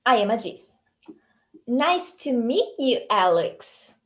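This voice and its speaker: A young Brazilian woman teaching English online from a small room, lively and encouraging, reading the English lines out slowly. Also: fairly high pitch. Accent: Brazilian Portuguese. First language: Portuguese.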